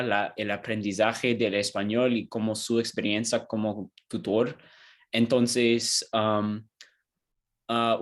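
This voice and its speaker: A man interviewing a tutor for a class assignment, asking questions hesitantly and stumbling through his Spanish with restarts. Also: lowish voice. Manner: hesitant, many fillers and restarts, second-language Spanish. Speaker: student interviewer.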